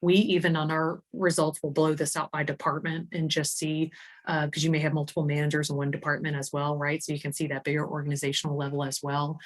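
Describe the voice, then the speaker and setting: Low-pitched woman giving a software demo. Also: level tone, fast talker. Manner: enthusiastic, informal, explanatory. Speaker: HR executive demonstrating software. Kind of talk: software demo presentation, conversational.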